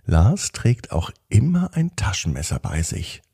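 A man hosts a children's podcast, narrating a bedtime story expressively with drawn-out phrases. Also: sits low, huge pitch swings, vocal fry, warm tone, slightly rough, no room sound, clean studio sound.

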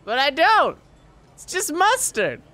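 A woman puts on a mischievous older aunt for a video game parody. She is brash and foul-mouthed, with a high, sharp voice and a sassy way of talking. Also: wildly swooping pitch, takes it slowly, full character voice. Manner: sassy, swearing. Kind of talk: improvised comedic game dub.

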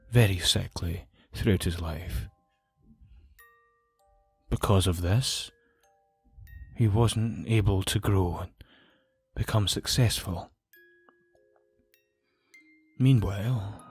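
Grave, injured nobleman for audio drama, played by a man; low-pitched, formal, solemn, faint music underneath. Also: takes it slowly, pauses often, a little mellow, slightly rough, no echo, heavily acted. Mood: solemn, regretful.

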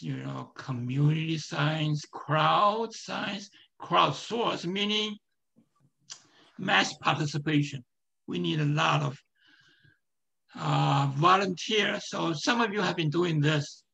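A man with a hoarse, strained voice giving a live screen-share presentation, talking in a halting way with many fillers and restarts. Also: on the high side, fairly bright tone, lo-fi sound.

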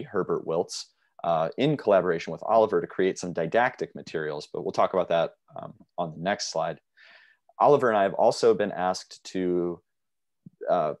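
A young man presenting a linguistics paper over a video call, speaking in a measured way with frequent fillers. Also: sits low, a little mellow, no room sound, studio quality. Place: small room indoors. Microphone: video call webcam mic.

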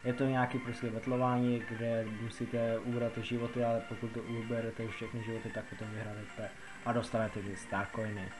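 A man's voice: mid-range voice, flat delivery, poor audio. A man from the Czech Republic giving a casual, rambling game tutorial, repeating himself as he explains. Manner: rambling, repetitive explaining with filler words. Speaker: gaming video narrator. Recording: music behind the voice. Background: background music.

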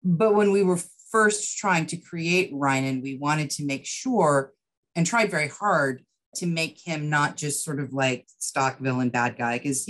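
Low-voiced middle-aged woman explaining thoughtfully. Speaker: interview guest, writer and producer. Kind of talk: conversational, explanatory interview talk. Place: indoors, book-lined room, video call.

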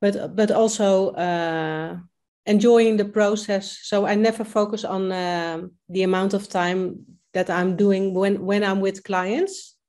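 A woman's voice: mid-range voice, fairly even intonation, slow, darkish tone. A Dutch-accented woman in her fifties speaks on a video call from her home kitchen. English is not her first language, so she halts often and restarts her sentences as she talks things through. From Netherlands. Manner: hesitant, many fillers and restarts. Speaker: coaching client.

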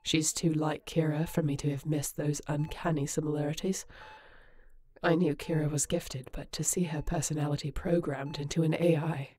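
A woman playing a composed, caring and quietly grieving authority figure in a sci-fi audio drama, speaking in a measured, formal way. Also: low-pitched, level tone. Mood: quiet, wistful. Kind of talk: sci-fi audio roleplay acting.

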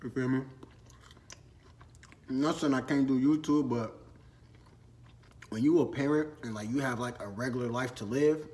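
A man's voice: mid-range voice, normal speed, long pauses, darkish tone. Laid-back slangy young man vlogging. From Minnesota. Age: 20s. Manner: laid-back slangy chat, eating while talking. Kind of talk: casual Q&A vlog. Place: storage room with shelves and ladders, indoors.

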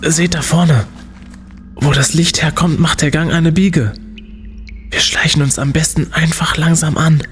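A man's voice: a little high, steady tone, a little slow, soft background music, lightly acted. An actor playing a bossy, undaunted young male student leading adventurers in an audio drama, with commanding bravado. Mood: determined.

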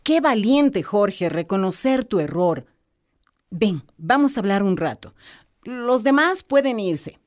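A woman's voice: medium pitch, lively intonation, slow, a little mellow. A woman with a Bolivian accent playing a firm, caring football team captain in a radio drama. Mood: approving. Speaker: football coach.

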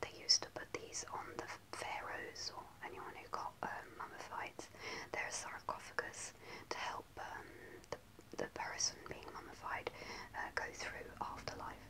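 A young woman telling a personal story in a soft, hesitant ASMR whisper, close to the camera in a small room. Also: a little slow, rough recording. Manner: soft unvoiced whisper, hesitant with many ums. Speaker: ASMR creator. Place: small indoor room before a starry backdrop. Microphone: close to a camera at face level.

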